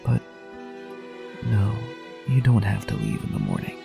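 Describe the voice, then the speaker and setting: A man voicing a kind but anxious young female unicorn who speaks gently and hesitantly. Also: fairly low pitch, wildly swooping pitch, measured pace, vocal fry, over music, exaggerated voice. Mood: gentle.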